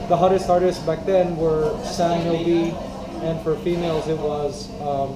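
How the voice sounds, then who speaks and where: A middle-aged man talks in a lively, expressive way, peppering his points with "you know what I mean". His voice sits slightly high. He is recorded from a camera across the table in an echoey café with heavy crowd noise behind him. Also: unhurried. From Canada. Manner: animated, gestures a lot, fills with "you know what I mean". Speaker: guest YouTuber. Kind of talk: casual interview chat. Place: busy indoor café, seated in a booth. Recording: roomy café with loud crowd chatter.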